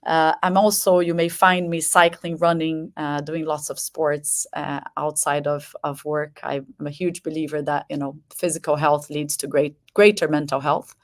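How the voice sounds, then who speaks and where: A woman from Brazil in her forties speaks as a webinar guest over a video call. Her voice is notably low. She talks in a steady, measured way, filling pauses with uh and um and repeating words as she goes. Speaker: guest, company president. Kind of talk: self-introduction in webinar.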